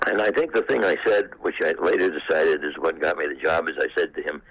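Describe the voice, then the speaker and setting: An elderly man in his eighties casually reminiscing in an interview, in a halting, anecdotal way. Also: rumbling low, fairly bright tone, husky, no echo, low-quality recording. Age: 80s. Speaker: former actor being interviewed.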